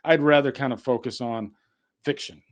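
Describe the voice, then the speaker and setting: A man's voice: mid-range voice, fairly even intonation, moderate pace. Man hosting a casual podcast chat. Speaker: podcast host.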